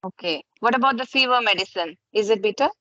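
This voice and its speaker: A woman's voice: mid-range voice, lively intonation, fast, pushed and bright. Kannada-accented woman host on a muffled call. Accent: Indian English, Kannada. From Karnataka, India. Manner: patient, asks many simple questions. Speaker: English conversation host. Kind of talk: casual conversation practice.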